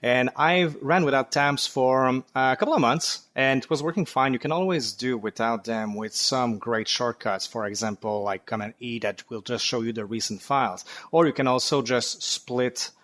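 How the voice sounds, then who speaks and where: A man's voice: medium pitch, normal speed, few pauses. A young man from Quebec with a French Canadian accent, chatting as a podcast guest and explaining his developer setup at an easygoing pace with fillers. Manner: relaxed, explanatory, with frequent fillers. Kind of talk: casual technical chat.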